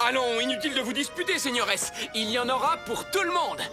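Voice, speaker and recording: A very high-pitched young masked hero of a cartoon adventure. He is jaunty and theatrical, teasing his foes like a dashing swordsman. Loud music plays behind him. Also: expressive, fast. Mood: playful, mocking. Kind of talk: dubbed cartoon acting.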